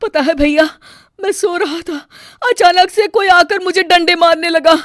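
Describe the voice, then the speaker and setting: Woman as young excitable husband, cartoon story, loud. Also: extremely high pitch, measured pace, dry recording, studio quality. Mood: frightened. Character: young husband, cheerful then frightened.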